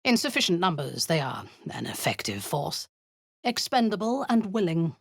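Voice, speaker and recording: Low, husky drow warlord, cold and cruel, slow and deliberate, swinging from hissed threats to sharp barked orders. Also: female voice, big pitch swings, no echo, clean studio sound, heavily acted. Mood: menacing. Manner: cold commands, often dropping to a menacing whisper. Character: cruel zealous drow woman warlord, commanding. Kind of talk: video game voice acting.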